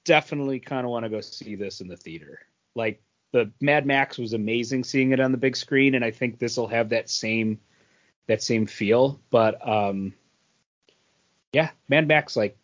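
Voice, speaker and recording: Middle-aged male co-host, casual and halting, repeats himself, medium pitch, slightly lo-fi recording. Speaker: podcast co-host. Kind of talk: casual pop-culture chat. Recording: dull lo-fi podcast audio.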